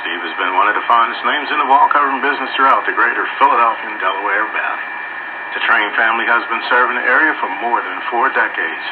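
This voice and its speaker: A man's voice: mid-range voice, fast, phone-quality sound. A man reciting a memorized commercial in announcer-like sales patter over two-way radio. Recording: very noisy, echoey band-limited radio.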